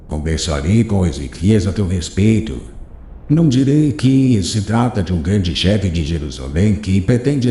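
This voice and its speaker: An actor playing a wise, gentle old master, giving slow, solemn, fatherly counsel in an audiobook. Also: low voice, echoey room. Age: older. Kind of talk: audiobook dialogue reading.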